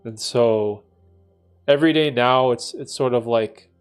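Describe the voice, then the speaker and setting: A man in his late thirties speaks in a casual, reflective livestream chat. His voice sits at a middle pitch, and he rambles thoughtfully with frequent "right?" tags. Faint music plays quietly behind him. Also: a little slow, clear, resonant tone. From Canada. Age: 30s. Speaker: livestream host answering chat.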